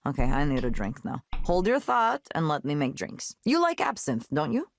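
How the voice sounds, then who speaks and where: An actress voicing an outspoken, cynical ex-tech worker in a visual novel game, earnest and opinionated. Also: female voice, deep voice, big pitch swings, normal speed, dry recording, studio quality. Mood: probing, brisk. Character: outspoken, cynical woman who quit a tech company.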